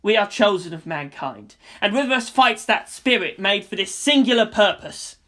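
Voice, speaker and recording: A woman's voice: mid-range voice, lively intonation, moderate pace, bright, forceful tone, voice pushed up. A woman voicing an earnest, determined teenage boy mecha pilot, urgent and emphatic, in a story reading.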